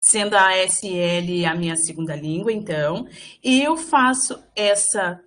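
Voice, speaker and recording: A woman interpreter giving live voice to a signed lecture, speaking in halting phrases with frequent fillers and restarts. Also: mid-range voice, fairly bright tone, slight background noise. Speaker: sign language voice interpreter for a workshop.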